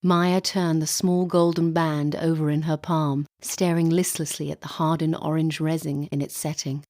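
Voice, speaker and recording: Low-voiced woman narrating a story. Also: level tone, darkish tone, dry recording. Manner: measured, literary storytelling delivery. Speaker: voice-over artist. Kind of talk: scripted audiobook narration.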